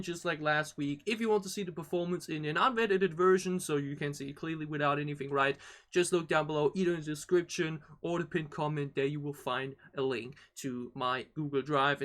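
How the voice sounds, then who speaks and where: A man hosting a reaction video, giving casual, enthusiastic commentary in an excitable, exclamatory way. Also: a little high. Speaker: YouTube reaction host. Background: music.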